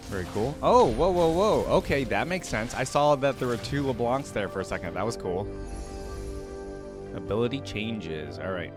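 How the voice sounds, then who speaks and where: A man hosting a reaction video, talking casually and reacting excitedly as he thinks aloud. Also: medium pitch, moderate pace, over music.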